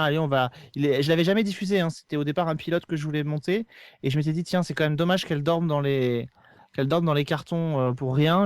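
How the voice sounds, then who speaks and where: A man with a fairly high, smooth voice talks casually on a podcast. He sounds earnest and opinionated, and the recording is close and dry. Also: darkish tone, no room sound.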